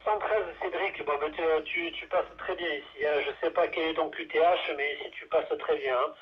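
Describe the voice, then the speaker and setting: A man's voice: a little high, steady tone, moderate pace, slightly rough. A man talking casually as a radio operator, hesitant and repeating words, heard through a two-way radio transmission. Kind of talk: casual radio signal report. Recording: dull, lo-fi two-way radio audio.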